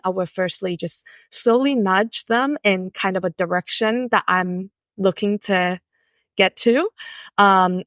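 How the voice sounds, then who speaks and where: A woman from Taiwan talks as a podcast guest, choosing her words with care and pausing often. Her pitch sits mid-range for a woman, and the recording is close and dry with little room sound. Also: fairly bright tone, no room sound. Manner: measured, thoughtful, with frequent um fillers. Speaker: guest, company co-founder. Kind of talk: podcast conversation.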